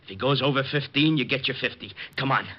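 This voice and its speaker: An actor playing a grouchy, excitable, sarcastic male neighbor in old-time radio comedy style. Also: medium pitch, quick pace, lightly acted. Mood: sly, impatient. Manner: sarcastic, blustering. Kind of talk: 1940s-50s radio comedy acting. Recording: muffled, noisy old radio recording with clicks.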